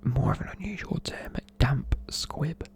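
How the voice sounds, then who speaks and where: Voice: medium pitch, animated, unhurried, darkish tone. A person with a British accent whispering informal, chatty, matey explanations in an ASMR style. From United Kingdom. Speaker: presenter explaining slang.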